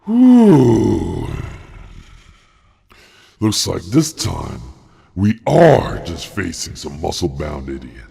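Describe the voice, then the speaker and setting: Pompous, creaky-voiced giant zealot. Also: male voice, big pitch swings, slow, darkish tone, full character voice. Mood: pompous, solemn. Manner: grandiose, formal, booming when worked up. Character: pompous, fanatical giant muscle-bound man with monstrous body. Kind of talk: anime fan dub acting.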